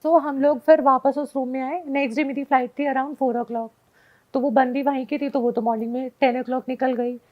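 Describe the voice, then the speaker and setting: A high-voiced woman tells her story in a casual, chatty way as a guest. She drops English phrases in often. The sound is slightly dull and lo-fi. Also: steady tone, normal speed. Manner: mixes Hindi with frequent English phrases. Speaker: guest telling a personal ghost story.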